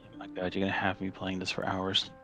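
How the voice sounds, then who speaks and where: Low, gravelly man from Chile. Casual guest, rambles through stories with faint music underneath. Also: completely flat delivery, fast. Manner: rambling storyteller, many fillers and restarts. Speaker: podcast guest. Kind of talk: casual interview chat.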